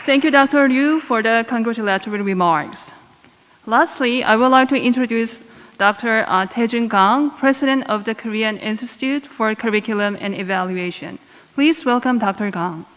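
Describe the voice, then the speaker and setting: A woman with a slightly high voice makes formal introductions as master of ceremonies. Her voice rings with long, hall-like reverberation. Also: moderate pace, fairly bright tone.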